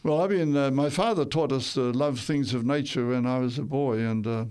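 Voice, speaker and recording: An older man recounts his life in a radio interview, speaking at a middling pitch. He rambles a little, restarts and corrects himself, and chuckles now and then. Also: moderate pace, a little mellow, smooth. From Tonga. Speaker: interview guest.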